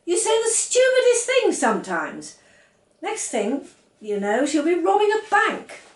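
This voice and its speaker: A woman's voice: very high voice, huge pitch swings, a little slow, roomy sound, pitched above the performer's own voice, heavily acted. A woman reading the part of a shrewd, exasperated wife and mother who scolds in a children's storybook.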